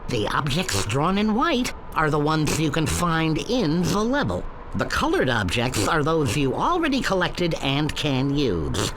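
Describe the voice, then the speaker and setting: Excitable cartoon duck game host, super swoopy pitch, showy and sarcastic, pretty noisy audio. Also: male voice, measured pace, hardly any pauses. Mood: brash, instructive. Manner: brash, showy, exclamatory. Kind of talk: video game tutorial narration, cartoon acting.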